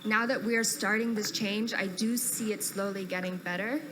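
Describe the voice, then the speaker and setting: A woman's voice: mid-range voice, fairly even intonation, moderate pace, crowd noise. A woman in the audience at a live panel, earnestly sharing an observation from her fashion work, rambling a little and laughing between points. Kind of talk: audience comment at a live event.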